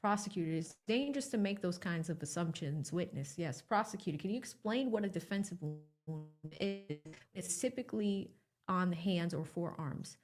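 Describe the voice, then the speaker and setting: A woman's voice: on the low side, moderate pace, soft background music. A woman reporting testimony by reading a trial recap aloud in a factual, clipped question-and-answer delivery.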